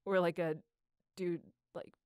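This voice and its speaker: A woman's voice: on the low side, measured pace, clear, resonant tone, no room sound, studio quality. A young woman telling stories in a casual, slangy, irreverent way, rambling as she goes. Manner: slangy, irreverent, animated retelling with acted quotes. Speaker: amateur voice actor answering a question.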